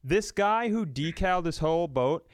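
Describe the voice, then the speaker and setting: A man's voice: fairly high pitch, expressive, moderate pace, dry recording, studio quality. An American man co-hosting a comedy podcast, ranting casually in a blunt, profane, mocking way.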